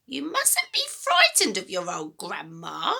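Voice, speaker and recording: A woman acting a creaky, sinister old witchy grandmother. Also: on the low side, huge pitch swings, bright, forceful tone, heavily acted. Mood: sly, menacing. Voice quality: throaty, whispery. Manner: drawn-out, relishing, menacing delivery. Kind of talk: dramatic children's storybook reading.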